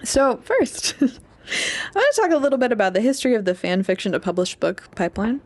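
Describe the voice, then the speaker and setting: Female podcast co-host, twenties. Creaky edge, candid and informal, loose conversational delivery. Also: fairly high pitch, sing-song, quick pace, darkish tone. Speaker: podcast co-host, English PhD student. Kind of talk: casual chat.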